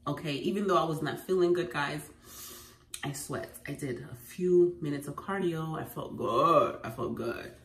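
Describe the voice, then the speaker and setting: A woman with a head cold, sounding congested, sharing home remedy tips in an animated, emphatic vlog at home. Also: low voice, normal speed. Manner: animated and emphatic, repeating phrases. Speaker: lifestyle vlogger. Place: at home indoors. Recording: echoey room, faint noise.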